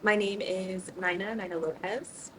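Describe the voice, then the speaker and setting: A woman's voice: medium pitch, fairly even intonation, measured pace, fairly bright tone. A woman from Mississippi, a veteran, giving a rambling, conversational self-introduction. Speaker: student interviewee, military veteran. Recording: distant mic with faint noise.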